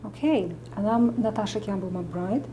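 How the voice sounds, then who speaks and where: Middle-aged Russian-accented woman, physician explaining to camera; mellow tone, faint background hiss. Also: mid-range voice, normal speed, lo-fi sound. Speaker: medical doctor and nutritionist.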